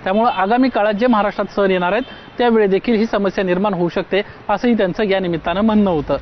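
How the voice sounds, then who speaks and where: A man's voice: sits high, steady tone, quick pace. A man giving a news report as a field reporter. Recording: dull sound with faint noise.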